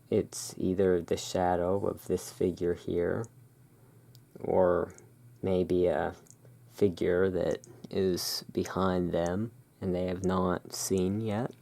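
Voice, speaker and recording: Slow, low, creaky ASMR emporium keeper. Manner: unhurried, hushed, pausing between short phrases. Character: owner of a dark gothic curiosity emporium. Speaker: ASMR roleplay host as curiosity emporium owner. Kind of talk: soft-spoken ASMR tarot card showing.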